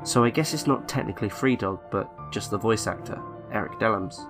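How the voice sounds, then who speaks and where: A man reading a scripted online horror story in a measured, deliberate way, with pauses between phrases. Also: fairly low pitch. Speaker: narrator of a creepypasta video. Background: background music.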